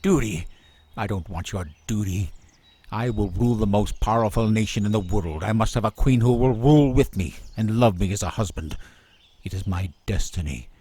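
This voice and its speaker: A man's voice: fairly low pitch, moderate pace, warm tone, slightly rough, far from the mic. An actor playing an arrogant young prince hungry for the throne in a radio drama, imperious and menacing as he declaims. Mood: angry, commanding.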